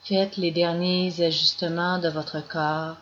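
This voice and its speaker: Very slow-paced woman guiding meditation, muffled recording. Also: fairly low pitch. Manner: slow, calm, measured instructions with long pauses. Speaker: yoga nidra guide. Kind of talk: guided meditation, scripted narration. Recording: dull lo-fi recording with clear background noise.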